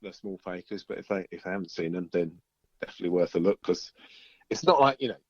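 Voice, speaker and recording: A man's voice: deep voice, expressive, fast, no echo. A man chatting casually as an interviewed musician, easygoing and rambling, over a lo-fi remote link. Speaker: band musician being interviewed. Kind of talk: casual chat.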